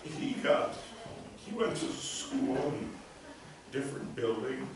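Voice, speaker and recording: A man with a big deep voice giving a eulogy, pausing often and laughing between memories. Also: animated, creaky. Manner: halting, with pauses and fillers, laughs between stories. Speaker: eulogy speaker. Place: memorial gathering, room with listeners. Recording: echoey room from far off, noisy.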